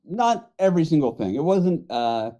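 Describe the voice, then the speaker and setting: A man's voice: a little high, very animated, moderate pace, dark tone. A man co-hosting a podcast, talking casually and giving his opinions. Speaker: podcast co-host. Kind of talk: casual group chat.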